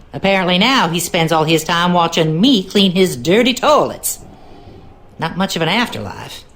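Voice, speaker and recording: A woman's voice: medium pitch, natural voice. An actress playing a wry, superstitious cleaning woman in a video game, with a Southern US accent and a knowing, ominous way of talking. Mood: wry, folksy. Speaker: game character, cleaning lady. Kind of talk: video game character dialogue.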